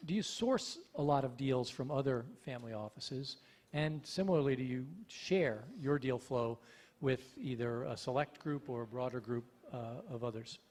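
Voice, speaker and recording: Male moderator, polite and brisk, middle pitch, keeps things moving. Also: a little mellow. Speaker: panel moderator. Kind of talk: moderating a panel.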